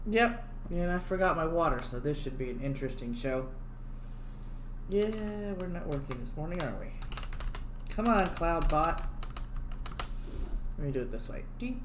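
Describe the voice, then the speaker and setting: A woman's voice: low-pitched, lively intonation, normal speed, long pauses. A woman hosting a livestream on her own, chatting casually and joking about her own technical glitches. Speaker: livestream host. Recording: echoey room with faint background hum.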